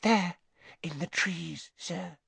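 Storybook house-elf, female, tiny and pleading, very high squeaky voice, stammering broken speech male reader acting it out. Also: slow pace, gravelly, pushed and bright, husky, dry recording, heavily acted. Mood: trembling whisper. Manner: stammering, broken childlike grammar.

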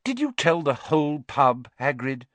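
A man voicing a stern, brisk older female schoolteacher with a sharp, precise manner in a storybook. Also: medium pitch, huge pitch swings, dry recording, pitched above the performer's own voice. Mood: exasperated.

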